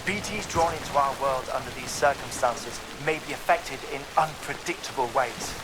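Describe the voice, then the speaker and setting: A man acting a formal game scientist giving a precise advisory. His voice is mid-pitched for a man, and heavy rain noise is very loud around it. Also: moderate pace, close to an everyday voice. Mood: formal, cautionary. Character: formal male scientist issuing a hazard advisory. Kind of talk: video game voice acting, radio-style advisory.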